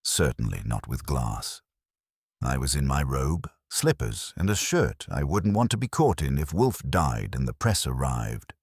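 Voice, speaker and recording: A man's voice: rumbling low, sing-song, measured pace, breathy edge, dry recording, studio quality. A man reading a scripted first-person detective story as its narrator.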